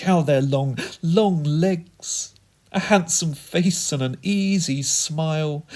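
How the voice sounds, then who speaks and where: A man performing comic spoken-word poetry in a theatrical, whimsical and deliberate manner. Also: fairly high pitch. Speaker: performance poet.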